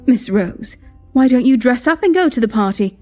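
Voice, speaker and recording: Audio drama maid played by a woman: young, servile yet emphatic, devoted to her mistress, higher pitch. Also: lively intonation, normal speed, darkish tone, faint music behind, close to an everyday voice. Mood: scheming. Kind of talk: melodramatic audio drama acting.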